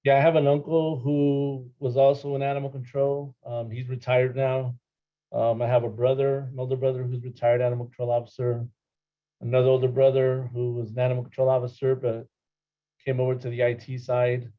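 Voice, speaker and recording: Even-toned man, slightly dull audio. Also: mid-range voice, fairly quick. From Los Angeles, California. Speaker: panel guest, animal services worker. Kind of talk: casual conversational answers.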